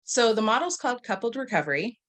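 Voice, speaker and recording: A woman from Massachusetts, a marriage and family therapist, explains her work on a video call in a clear, plain American voice. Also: on the high side, sing-song, fast, a bit bright. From Massachusetts, United States. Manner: clear, explanatory, light laughs. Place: home in Dallas, Texas.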